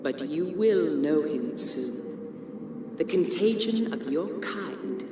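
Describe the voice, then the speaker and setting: A man's voice: fairly high pitch, expressive, slow pace. A performer playing an aloof, cryptic female specter in a video game, speaking in a haughty, formal way. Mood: aloof, foreboding. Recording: echoing, distant, noisy ambience.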